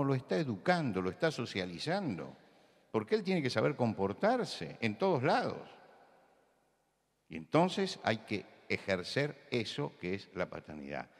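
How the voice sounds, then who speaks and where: Rioplatense male preacher, lowish voice, emphatic and repetitive, church hall reverb. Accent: Rioplatense Spanish. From Buenos Aires. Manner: emphatic, repeats key words, rises to loud insistence. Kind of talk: sermon talk with anecdotes.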